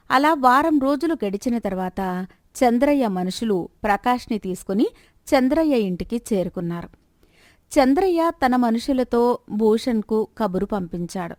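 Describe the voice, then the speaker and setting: A woman serves as narrator of a scripted moral story. Her voice sits on the high side. The sound is dry and free of room echo. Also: a little mellow.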